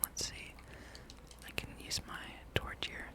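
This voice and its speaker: A person speaking in a breathy, unvoiced whisper, giving rambling, playful ASMR commentary while playing a video game. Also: a little slow. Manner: hushed, playful musing, reads game dialogue aloud. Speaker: gameplay streamer.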